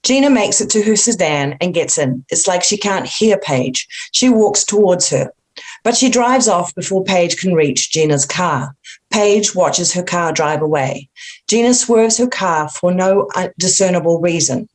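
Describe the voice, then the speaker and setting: A woman's voice: fairly low pitch, expressive, unhurried. A woman reading screenplay stage directions as a narrator, in a plain, matter-of-fact manner.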